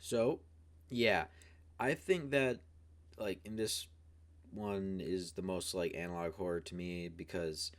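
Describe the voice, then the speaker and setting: A man's voice: low voice, slow pace, background noise. A man hosting a video with casual commentary, talking in a rambling, informal, hedging way. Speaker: video host.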